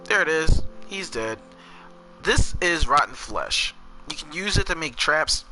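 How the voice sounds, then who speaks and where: A man's voice: fairly high pitch, animated, a little slow, sharp tone, rough recording. A man from New Jersey with a New Jersey accent, rambling casually as he narrates his own gameplay and chats with viewers. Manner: rambling, chatty, talks to chat while playing. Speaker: gamer streamer. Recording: game music behind voice.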